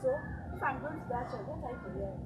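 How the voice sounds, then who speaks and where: Coy, high-pitched Nigerian gossip. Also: female voice, expressive, fast. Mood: evasive. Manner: evasive, chiding. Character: coy churchgoing gossip, feigning reluctance, secretive.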